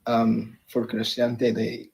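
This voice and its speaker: Young man from Egypt, husky, monotone, hesitant debater. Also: mid-range voice, measured pace. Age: young adult. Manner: hesitant, many fillers and restarts. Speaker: debate participant. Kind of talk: live debate chat.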